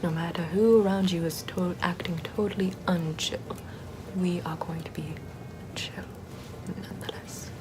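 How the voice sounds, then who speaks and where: Hushed, sardonic Salem witch, acted by a woman, noisy recording. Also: lowish voice, steady tone, measured pace, lightly acted. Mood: wry, relaxed. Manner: hushed, often whispering, wry and casual. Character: sardonic, chill Puritan-era Salem witch soothing a visitor. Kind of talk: whispery ASMR roleplay, improvised monologue.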